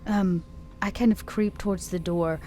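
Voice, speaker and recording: A woman's voice: medium pitch, fairly even intonation, normal speed, warm tone. A woman casually improvising her character's actions as a tabletop player, hesitant and thinking aloud. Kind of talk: improvised in-game narration, casual.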